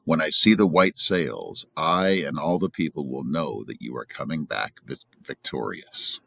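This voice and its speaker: A man voicing a loving, sorrowful old father of a prince, solemn and halting, in a storybook reading. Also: rumbling low, animated, creaky, a bit bright, no room sound, clean studio sound, exaggerated voice.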